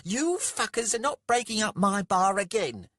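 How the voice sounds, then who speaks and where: A man's voice: high-pitched, very animated, moderate pace, a bit bright, no echo, studio quality, voice pushed up, full character voice. A man voicing a furious, screeching, foul-mouthed tavern landlady in a story, ranting and scolding.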